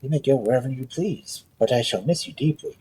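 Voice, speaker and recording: Man as an earnest, husky-voiced young lad. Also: medium pitch, fairly quick, dark tone. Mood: grateful. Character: earnest, humble young lad of a folk tale.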